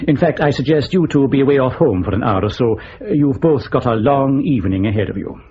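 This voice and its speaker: A man plays a seasoned spymaster in a radio drama, speaking with formal, wry understatement. His middle-pitched voice comes through a dull recording with slight background noise. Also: lively intonation, a little fast, natural voice. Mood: dry, confiding. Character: seasoned male intelligence section chief, measured and dry. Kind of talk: spy radio drama acting. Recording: dull lo-fi audio, faint noise.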